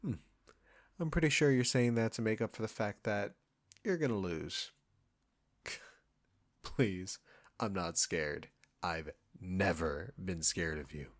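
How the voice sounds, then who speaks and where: Man acting as a low-voiced, cocky chef. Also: slow, long pauses. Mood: smug teasing. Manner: smug, bantering, teasing. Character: cocky, condescending male chef, teasing a longtime rival. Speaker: audio roleplay performer. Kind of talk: intimate roleplay audio drama addressed to the listener.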